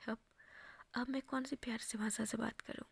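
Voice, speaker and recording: A woman whispering the lines of a reserved, lovesick young man in a retold romance story, with a gravelly, close and dry sound. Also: on the high side, fairly even intonation, moderate pace, dry recording, exaggerated voice. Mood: earnest. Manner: whispered, soft-spoken.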